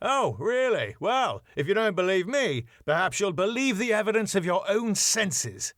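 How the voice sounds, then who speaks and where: A man's voice: high voice, sing-song, moderate pace, a bit bright, no room sound, clean studio sound, pitched above the performer's own voice. A man voicing a pompous, sarcastic middle-aged male venue owner. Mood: haughty. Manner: fussy, sarcastic, falsely polite.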